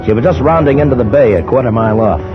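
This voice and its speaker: A man's voice: mid-range voice, lively intonation, racing, lightly acted. A man playing an earnest adventurer who narrates his own tale in dramatic 1950s radio style. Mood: tense, descriptive. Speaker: first-person narrator and character. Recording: dull old radio recording, loud music.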